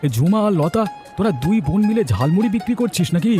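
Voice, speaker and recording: A man playing a kindly, encouraging village gentleman in a cartoon, hearty and fond of repeating words. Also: high voice, animated, fast, soft background music. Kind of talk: Bengali cartoon voice acting.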